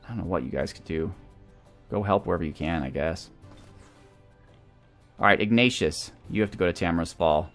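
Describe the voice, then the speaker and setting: Male let's play host, fairly low voice, casual thinking-aloud commentary, faint game music underneath. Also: moderate pace, long pauses, fairly bright tone.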